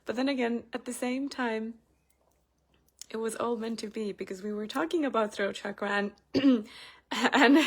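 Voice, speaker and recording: A young woman with a Finnish accent, chatting casually in a personal vlog with many fillers, getting animated when she retells a story. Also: on the high side. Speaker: spiritual channel host.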